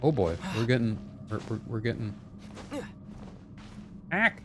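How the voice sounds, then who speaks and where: Animated man streaming a game. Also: medium pitch, a little slow, noisy background. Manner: playful, repeats phrases while thinking aloud. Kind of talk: casual live gameplay chat.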